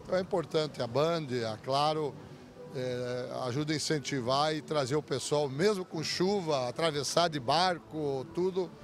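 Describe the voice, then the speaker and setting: A man's voice: a little high, normal speed, slight background noise. An older man interviewed on a beach by a handheld mic, talking in a rush with restarts. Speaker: event organizer interviewed. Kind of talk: on-the-street interview. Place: outdoors on a beach. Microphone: handheld reporter mic.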